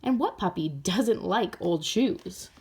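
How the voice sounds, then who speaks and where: A woman's voice: medium pitch, expressive, slow pace, a little mellow, a little hiss or hum. A woman reading a storybook aloud for children, expressively, speaking directly to young listeners. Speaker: storybook reader. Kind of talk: animated children's read-aloud.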